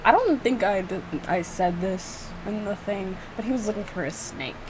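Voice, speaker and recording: A woman's voice: medium pitch, moderate pace, background noise. A woman giving casual, rambling playthrough commentary, giggly and poking fun at herself. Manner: self-mocking, giggly, rambling. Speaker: video creator reacting to own game.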